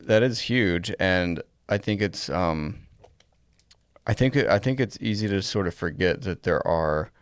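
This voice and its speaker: A man co-hosting a casual podcast, speaking reflectively and pausing to find his words. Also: sits low, expressive, no echo. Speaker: podcast co-host.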